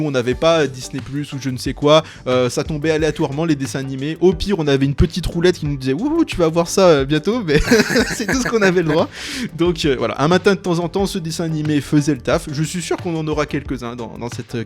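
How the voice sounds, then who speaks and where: Male host, late 20s, slightly high voice, informal rambling chat, music bed behind. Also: lively intonation, fairly quick. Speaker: YouTube host. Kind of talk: casual chat presenting a tier list.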